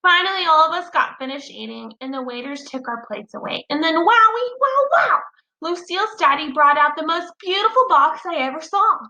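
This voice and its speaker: A woman reads a children's story in a high, bright voice. She narrates with childlike, expressive enthusiasm, and the room adds a noticeable echo. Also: normal speed. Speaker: teacher reading aloud. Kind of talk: animated children's story read-aloud. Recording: roomy echo.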